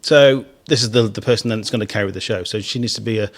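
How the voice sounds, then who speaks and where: A man's voice: on the low side, quick pace. Chatty middle-aged male radio host. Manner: chatty, frequent laughs and restarts. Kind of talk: casual studio chat. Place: radio studio.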